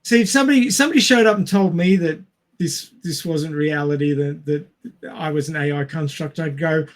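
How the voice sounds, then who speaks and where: A man's voice: fairly high pitch, fairly quick. A man co-hosting a podcast, chatting casually about films. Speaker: podcast co-host. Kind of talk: casual movie discussion.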